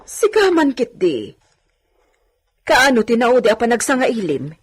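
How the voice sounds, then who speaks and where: A woman plays a devoted housemaid in an audio drama, fretting over her mistress. Her voice is fairly high and swings animatedly as she fusses. There is faint noise behind her. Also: huge pitch swings, measured pace. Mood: worried. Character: fretful, caring housemaid tending her young mistress.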